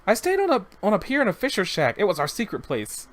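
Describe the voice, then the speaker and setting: A man's voice: high-pitched, huge pitch swings, fast, voice pushed up. A man voicing an earnest young boy who talks eagerly and rambles a bit about whales and a new friend.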